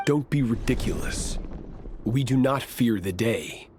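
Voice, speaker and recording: An actor playing a proud, grim knight in a video game, curt and scornful. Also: male voice, medium pitch, animated, a little slow, darkish tone, slight background noise, lightly acted. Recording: background music under the voice.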